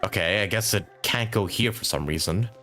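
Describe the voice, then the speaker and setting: Low-pitched man chatting while gaming. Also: fairly quick, sharp tone, soft background music. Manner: thinks aloud, chatty with viewers. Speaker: live streamer playing a video game. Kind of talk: casual gameplay commentary.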